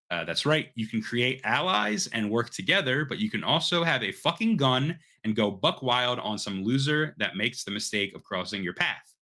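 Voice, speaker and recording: Male podcast host, bright forward voice, eager and informal, sweary casual gaming chat. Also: medium pitch.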